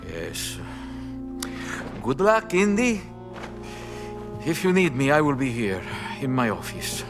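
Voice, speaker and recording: Learned Italian cleric, mid-pitched, careful, helpful, Italian accent, cinematic game score behind. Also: male voice, wildly swooping pitch, takes it slowly, gravelly, music in the background, heavily acted. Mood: friendly. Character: scholarly, cautious Italian male priest, friendly and helpful.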